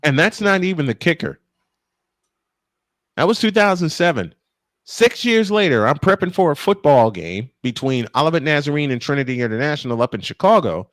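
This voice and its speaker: Animated higher-voiced male sportscaster. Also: no room sound, clean studio sound. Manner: animated, emphatic storyteller with drawn-out dramatic phrasing. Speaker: play-by-play sportscaster. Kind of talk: live baseball commentary mixed with animated storytelling.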